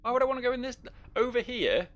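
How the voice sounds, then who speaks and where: A man's voice: very high-pitched, expressive, quick pace, fairly bright tone. A man hosting a game playthrough with casual, wry, sweary commentary. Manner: wry, sweary, reads game text aloud. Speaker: gaming video host. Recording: faint noise, game ambience.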